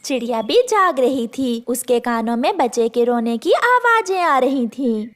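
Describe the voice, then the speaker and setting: A woman's voice: high voice, very animated, unhurried. A woman narrating a children's cartoon story as the storyteller.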